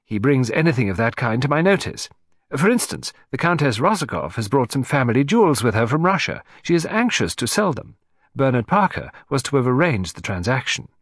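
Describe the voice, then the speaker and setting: A man puts on an excitable, fussy gentleman collector for an audiobook. He speaks in a fluttery, emphatic way, pitched up and swinging widely. The sound is dry and close. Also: fairly quick, no echo. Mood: fussy explaining.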